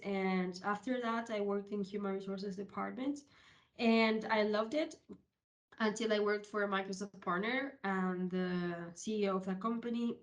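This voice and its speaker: She is a woman of thirty-one from Uruguay, speaking with a Uruguayan Spanish accent. Her voice sits at an average pitch for a woman. She presents informally in an online session, explaining things step by step with some hesitation. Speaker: presenter, HR technology consultant. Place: online video call session.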